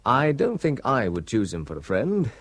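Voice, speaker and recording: An actor playing a reserved young visitor who speaks carefully in a radio drama. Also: male voice, mid-range voice, huge pitch swings, moderate pace, fairly bright tone, husky, no echo, heavily acted.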